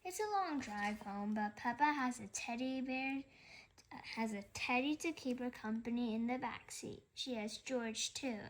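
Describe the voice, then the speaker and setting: A woman reading a children's story aloud as a narrator. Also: on the high side. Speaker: storybook reader.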